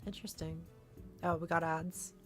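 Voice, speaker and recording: A woman livestreamer with a slightly low voice, moving between reading a visual novel aloud and casual chat. Faint music plays behind her. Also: steady tone, normal speed.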